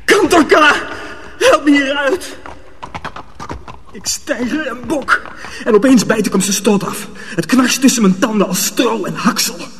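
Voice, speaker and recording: An actor playing a stubborn, defiant young apprentice sorcerer in a radio drama, earnest and resolute. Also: male voice, extremely high pitch, expressive, measured pace, fairly bright tone, slight background noise, full character voice. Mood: desperate, intense.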